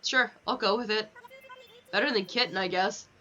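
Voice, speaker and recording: A woman's voice: mid-range voice, normal speed, bright, forceful tone, muffled recording, a little hiss or hum. A young woman chatting casually on a game livestream, candid and rambling, swearing freely as she goes.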